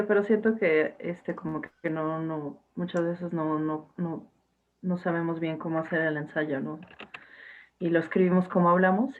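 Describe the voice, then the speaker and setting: A young woman teacher, talking to her class casually, with fillers and restarts over a video call. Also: on the low side. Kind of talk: casual online class talk. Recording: tinny phone-like line.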